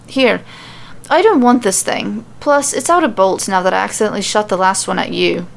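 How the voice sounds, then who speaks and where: A woman's voice: mid-range voice, normal speed, gravelly, natural voice. A woman voicing a brash, dismissive Irish warrior woman with a blunt, nonchalant manner and an Irish accent, in a told fantasy story.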